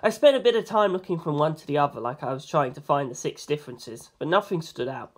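Expressive storyteller reading a novel. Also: mid-range voice, fast. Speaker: amateur audiobook reader. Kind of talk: reading a novel aloud as first-person narration.

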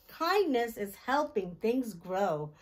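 A middle-aged woman hosting a children's storytime, reading a picture book aloud in simple, deliberate phrases and putting questions to her young listeners. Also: a little high, very animated, slight background noise. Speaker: children's storytime host and reader. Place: small decorated indoor room.

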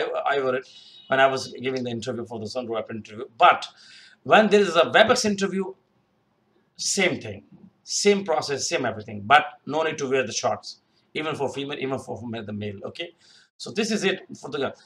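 A man's voice: mid-range voice, a bit bright. Young male career-tips host, loose and unscripted, lots of fillers and restarts, webcam sound in a small room. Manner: rambling, repeats himself, many fillers. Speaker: youtube career advice host. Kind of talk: unscripted screen-share tutorial. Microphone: webcam in corner of screen recording.

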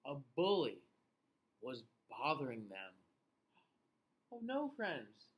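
A middle-aged man reading a picture book aloud to young children, expressively, with questions and pauses for them to answer. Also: mid-range voice, wildly swooping pitch. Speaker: children's class host and teacher reading aloud. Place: small indoor room.

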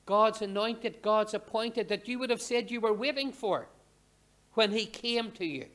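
Older guy preaching in church, slow and measured, short phrases, asks the congregation questions. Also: sits high, fairly bright tone. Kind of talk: sermon. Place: church pulpit, indoors. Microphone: lectern microphone.

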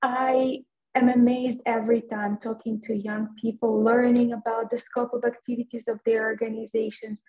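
A woman's voice: on the high side, flat delivery, moderate pace. A woman in her thirties moderating a panel on a video call, giving formal, appreciative remarks. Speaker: moderator giving closing reflections. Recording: thin phone-like video-call audio.

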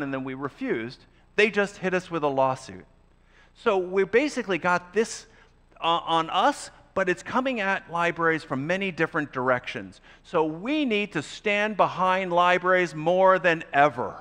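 An older man hosting an event, giving a speech from a large auditorium stage, halting with many restarts. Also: high voice, animated, fairly bright tone. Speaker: event host. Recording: long hall reverb.

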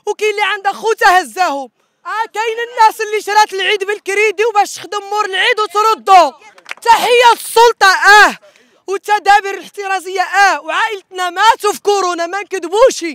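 A woman's voice: very high voice, a bit bright, no room sound. A woman in her thirties with a Moroccan accent giving impassioned, emphatic protest testimony into a handheld microphone outdoors, often repeating herself. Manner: emphatic, repetitive. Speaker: protesting wedding musician. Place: outdoors in a city square. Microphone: handheld reporter microphone.

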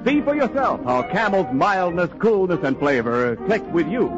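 High-pitched, animated 1940s radio announcer, male. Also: normal speed. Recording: dull 1940s radio recording, faint music.

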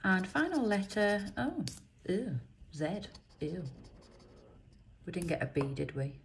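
A croaky woman talks her way through a pile of scratch cards, reading each letter aloud in a chatty, unhurried way. Her voice sits fairly low and moves slowly. A faint hiss stays under her voice throughout. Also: very animated. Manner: chatty, reads letters out one by one. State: croaky from a chest infection. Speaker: scratch card vlogger. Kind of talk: casual commentary. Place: indoors at a table.

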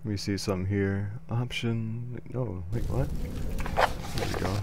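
A man's voice: lowish voice, steady tone, a little slow, vocal fry. A young man casually commentating a horror game, muttering to himself, swearing and jumping at sounds. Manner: jumpy, profane, mutters and talks to himself. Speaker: gameplay commentator. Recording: loud hiss and hum behind the voice.

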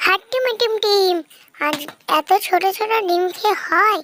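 A performer voicing a mischievous, always hungry trickster fox with a childlike, squeaky, singsong delivery in a children's fairy-tale cartoon. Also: moderate pace, sharp tone, a little hiss or hum. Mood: hungry, grumbling. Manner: singsong, repeats a nonsense rhyming catchphrase.

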